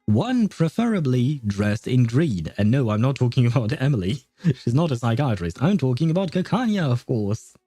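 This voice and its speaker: Male game streamer; mellow, dry close-mic voice, rambling and jokey, faint music behind. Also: mid-range voice, big pitch swings, normal speed, no room sound. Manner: rambling, jokey, thinking aloud. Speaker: livestreamer. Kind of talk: casual livestream game commentary.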